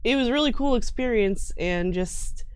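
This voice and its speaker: A woman's voice: a little high, lively intonation, normal speed, smooth. A woman speaking as a guest player on a tabletop gaming stream, in casual, joking chat.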